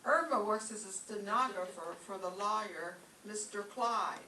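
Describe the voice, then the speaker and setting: A woman's bright, forceful voice carries through a roomy space, a little away from the mic. She reads scripted narration as the emcee of a live radio show, over faint noise. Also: medium pitch, unhurried. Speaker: master of ceremonies. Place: live stage show in a room. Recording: echoey room, distant mic, faint noise.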